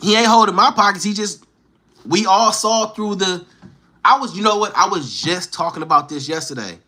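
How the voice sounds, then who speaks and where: A man's voice: high-pitched, expressive, moderate pace, bright, forceful tone. A man livestreaming, bantering with and teasing his chat in slangy street talk, firing challenging questions.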